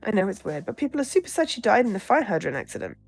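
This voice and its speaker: A woman's voice: medium pitch, big pitch swings, rapid-fire, creaky, a little mellow, husky, faint music behind, full character voice. A woman voicing a positive, matter-of-fact campaign aide in a visual novel, blithe and consoling.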